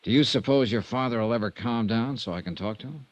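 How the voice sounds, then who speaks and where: An actor playing a steady, probing insurance investigator in a 1950s radio detective drama, with terse, measured questions. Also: male voice, medium pitch, no room sound, close to an everyday voice. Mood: calm, probing. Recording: dull vintage radio recording.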